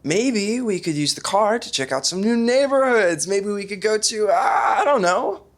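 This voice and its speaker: A man playing an awkward, excitable twenty-one-year-old roommate in a podcast sitcom. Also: high voice, normal speed. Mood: sheepish, eager. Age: young adult.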